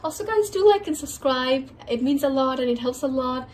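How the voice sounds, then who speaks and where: A nasal woman with a high voice gives a casual tarot reading, sounding a bit under the weather. Her voice cracks now and then, and she leans on filler words as she rambles on. A faint hum sits underneath. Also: animated, normal speed, darkish tone. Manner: chatty, heavy on filler words. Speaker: tarot reader.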